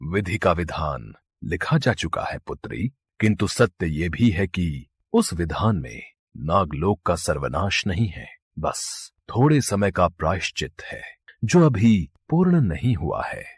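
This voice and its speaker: Male actor as a kindly god, mythological story, deep-pitched, solemn, measured, fatherly, dry sound. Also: big pitch swings, breathy edge, no echo, heavily acted. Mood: solemn, reassuring.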